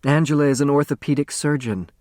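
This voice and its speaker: A man voicing a loyal woman lawyer in an audiobook, matter-of-fact and gently reassuring. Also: on the high side, fast, no echo, clean studio sound, close to an everyday voice.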